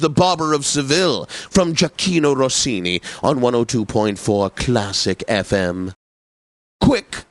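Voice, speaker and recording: A man's voice: medium pitch, a bit bright, no echo. A man playing a pompous, whimsical classical music radio host for a game, announcing with theatrical flourish and precision.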